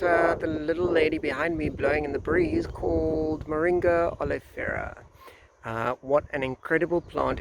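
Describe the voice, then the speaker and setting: South african guy chatting to camera for a garden vlog, bit hoarse, on the higher side, faint outdoor noise. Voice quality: slightly hoarse. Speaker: gardening video host, nursery gardener. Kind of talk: informal vlog talk to camera. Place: outdoors in a breezy garden nursery. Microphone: phone at arm's length. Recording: outdoor phone recording with faint background noise.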